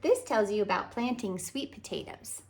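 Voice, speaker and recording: A woman hosting a read-aloud as a children's author, narrating a picture book in a deliberate, animated way for young children. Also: medium pitch. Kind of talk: expressive children's storybook narration.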